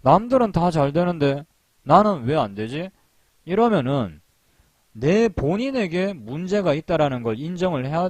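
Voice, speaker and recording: A man's voice: fairly high pitch, a little mellow, dry recording. A man giving a casual recorded stock trading lecture, chatty and repetitive, with rhetorical questions and small laughs. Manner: chatty, repeats himself, laughs at own jokes; rhetorical questions, frequent fillers. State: sore throat from long phone calls.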